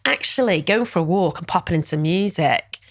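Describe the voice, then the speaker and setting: Gravelly-voiced woman chatting in an interview. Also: fairly low pitch, expressive, fairly quick, dry recording, studio quality. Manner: chatty, warm-hearted, many fillers and "you know". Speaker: guest, wellness event director. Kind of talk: casual interview chat.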